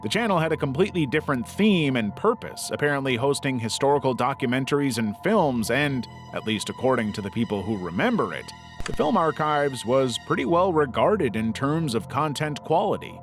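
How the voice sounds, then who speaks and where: A man's voice: medium pitch, big pitch swings, fairly quick, soft background music. A man reading scripted explainer narration in a wry, conversational way. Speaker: narrator of internet horror explainer videos.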